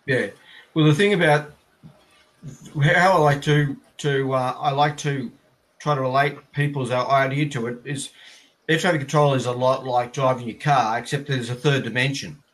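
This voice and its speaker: A man's voice: medium pitch, fairly even intonation, moderate pace, a bit bright. An Australian man in his fifties giving conversational explanations as an interview guest on a video call. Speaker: interviewee, air traffic controller. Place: plain indoor room, video call.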